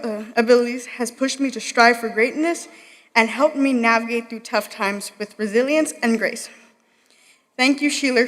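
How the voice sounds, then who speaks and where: A young woman reads a graduation speech with care, tripping over a word now and then. Her voice is a little high. The sound rings with a long hall reverb. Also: a bit bright. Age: young adult. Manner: reads carefully, occasional stumbles. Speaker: graduating student speaker. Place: large hall.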